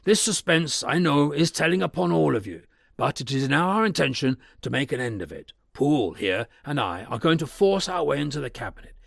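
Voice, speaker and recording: A man voicing a stern middle-aged male lawyer who talks in a formal, commanding way with a gravelly edge. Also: a little high, lively intonation, fairly quick, hardly any pauses, dry recording, studio quality, pitched above the performer's own voice. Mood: resolute.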